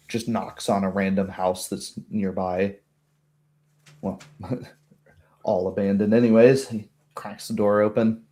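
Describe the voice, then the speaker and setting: Slow, mellow male game master. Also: on the low side. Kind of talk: descriptive tabletop roleplay narration.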